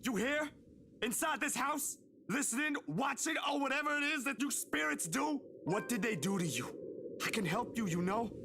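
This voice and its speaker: A woman voicing an earnest young investigator who pleads urgently, a little lower than her own voice. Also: animated, moderate pace, a bit bright, a little hiss or hum.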